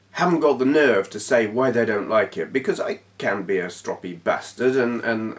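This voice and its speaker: A man's voice: lowish voice, recorded from a distance. A middle-aged man with a stuffy nose from a cold, frankly chatting to camera as a channel host. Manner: frank and blunt, with restarts and short laughs. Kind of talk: casual talking-head vlog.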